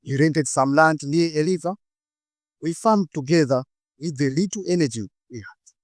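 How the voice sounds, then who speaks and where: A young man with a Malawian English accent speaks in a fairly high voice, breaking his lines into short, weighty phrases with deliberate pauses. The sound is close and dry, with no room echo. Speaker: speaker giving a stage talk. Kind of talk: rehearsed inspirational talk. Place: stage.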